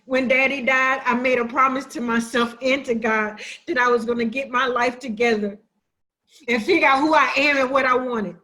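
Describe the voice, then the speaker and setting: A woman's voice: sits high, fairly even intonation, normal speed, sharp tone. A woman acting a hurt, defiant, sassy sister who makes emphatic accusations in a dramatic play reading. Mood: bitter, resolute. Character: wounded, defiant younger-minded sister confronting her sibling.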